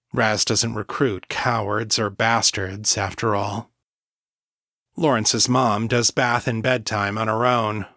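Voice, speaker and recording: A man's voice: mid-range voice, a bit bright, dry recording, studio quality. A man reading a literary short story as a scripted first-person narration.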